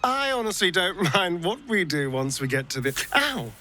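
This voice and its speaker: An actor playing a flippant, excitable male rogue in a video game, with stammering, exclamatory delivery. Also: high voice, normal speed, slight background noise, exaggerated voice. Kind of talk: video game cutscene acting.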